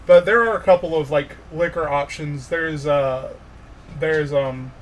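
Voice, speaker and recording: A man working as game master, narrating a tabletop fantasy game in an informal, halting way with pauses. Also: a little high, a little hiss or hum, rough recording.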